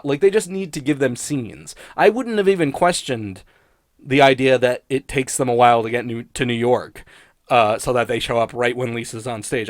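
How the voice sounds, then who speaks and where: A man's voice: medium pitch, no room sound, studio quality. A man rambling casually and sardonically in an unscripted review, swearing freely like a podcast critic.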